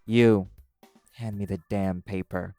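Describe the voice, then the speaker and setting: Man playing a deep, brash demon biker. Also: fairly even intonation, slow, loud music behind the voice. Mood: gruff, wary. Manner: taunting, threatening, swearing. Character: brash, menacing male demon biker, sarcastic and foul-mouthed. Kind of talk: audio roleplay acting.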